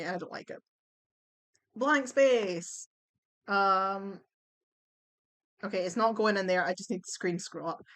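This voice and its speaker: A young woman chatting bluntly as she ranks songs at home on her computer, her voice going a little hoarse. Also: medium pitch, fairly bright tone. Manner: chatty, blunt, swears and laughs easily. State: losing her voice. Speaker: vlogger ranking songs. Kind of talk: casual chat.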